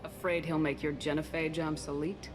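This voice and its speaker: A woman's voice: low voice, level tone, moderate pace, music in the background, lightly acted. An actress playing a confident, no-nonsense female military commander in a video game, firm and plain-spoken. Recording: game audio over ambient noise.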